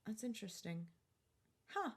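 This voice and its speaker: A woman's voice: on the high side, very animated, moderate pace, husky. A woman co-hosting a podcast in casual banter, with a teasing, giggly way of talking. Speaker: podcast co-host.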